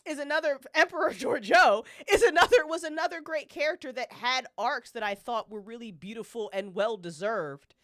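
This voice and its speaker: A woman's voice: a little high, moderate pace, hardly any pauses, sharp tone, dry recording, clean studio sound. A woman on a podcast panel, chatting casually and opinionatedly as a fan, with emphatic, slangy, swear-heavy delivery.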